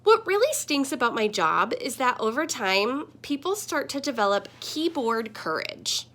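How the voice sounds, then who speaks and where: A woman voicing a friendly, earnest talking computer screen that gently scolds, in a children's picture book. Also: fairly high pitch, big pitch swings, a little slow, full character voice. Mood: earnest, warning. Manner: earnest, explanatory.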